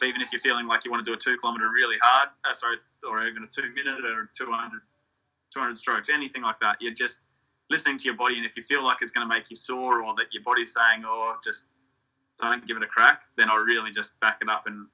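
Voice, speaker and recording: A young Australian man, a surf ski champion, answering interview questions in a relaxed, rambling way over a video call from a small indoor room. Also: medium pitch, low-quality recording. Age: young adult. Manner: relaxed, rambling, with restarts and fillers. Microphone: laptop or phone on a video call.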